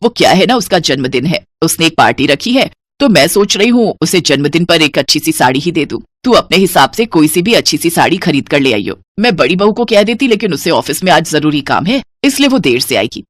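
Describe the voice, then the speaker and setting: A scolding older mother-in-law from a storybook cartoon, as a woman narrator puts her on. Her voice sits low and heavy, the delivery swings widely and sounds dry and close-miked with no room around it. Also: sing-song, brisk, hardly any pauses, no echo. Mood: coaxing. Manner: lower, heavier voice than the narration.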